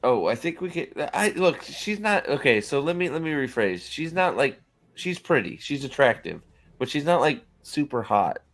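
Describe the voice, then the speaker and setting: A man's voice: a little high, very animated, normal speed, fairly bright tone. Guy hosting a casual tv reaction chat, swears a lot, trips over his words. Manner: blunt, profane, rambling with many restarts. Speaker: show host.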